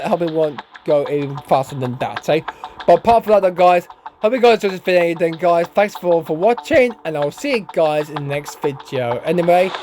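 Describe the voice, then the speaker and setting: A man giving casual gameplay commentary as a YouTuber, rambling and stumbling over his words, his voice hoarse and going. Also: on the high side, noisy background. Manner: rambling, stumbling, tags lines with "eh?" and "then, guys". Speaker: gaming YouTuber. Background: game music.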